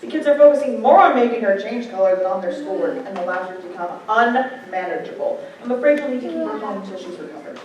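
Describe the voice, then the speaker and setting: A woman's voice: medium pitch, expressive, fast talker, few pauses, highs cut off, crowd noise, close to an everyday voice. A woman playing a formal school principal in a staged reading, polite but unyielding. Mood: politely firm. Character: formal, firm school principal phoning a parent. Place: live stage in a hall, far mic. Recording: echoey hall, distant mic.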